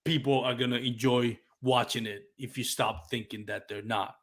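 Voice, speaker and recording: A man's voice: medium pitch, fairly even intonation, moderate pace, fairly bright tone. Puerto Rican-accented man hosting a podcast. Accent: Puerto Rican Spanish. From Puerto Rico. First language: Spanish. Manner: reflective, searching for words. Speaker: podcast host. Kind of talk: casual live podcast interview.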